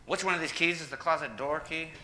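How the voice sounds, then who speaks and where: A man's voice: on the high side, fast talker, bright, forceful tone. Man playing blustering radio husband, noisy recording. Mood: self-satisfied. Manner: blustering, defensive. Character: stubborn, self-important, bumbling husband of old radio comedy. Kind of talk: old-time radio comedy acting.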